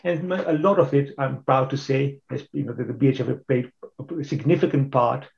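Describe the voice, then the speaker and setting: A man hosting an online event, introducing the speakers with many halting restarts. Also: medium pitch, creaky, a little mellow, highs cut off. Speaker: host.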